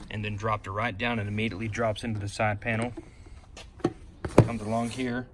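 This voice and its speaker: A man's voice: lowish voice, level tone, a little fast. A man giving an unscripted, hands-on installation walkthrough, explaining and pointing things out step by step. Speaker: DIY how-to presenter. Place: inside a pickup truck cab. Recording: noisy handheld recording inside a vehicle.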